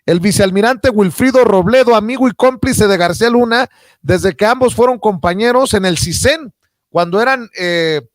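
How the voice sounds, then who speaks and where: A Mexican man with a notably high voice presents political commentary as a show host. His delivery is emphatic and accusatory, and the sound is dry and close. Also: moderate pace, dry recording. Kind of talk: political news commentary, partly read from notes.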